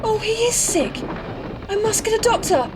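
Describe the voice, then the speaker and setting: A woman's voice: very high-pitched, expressive, moderate pace, over music. A woman playing a frightened, pleading wife of a madman in a melodramatic audio comic.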